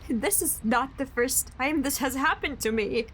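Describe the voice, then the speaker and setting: Radio drama role: obsessive, defensive young woman played by an actress, dramatic highish voice, faint noise behind. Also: measured pace, a bit bright. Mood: angry, defensive. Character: volatile, obsessive young woman in a failing romance.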